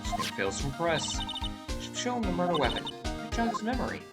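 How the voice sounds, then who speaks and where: A woman's voice: fairly low pitch, sing-song, brisk. A woman streamer reading a visual novel game aloud in a live read-through. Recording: loud game music behind the voice.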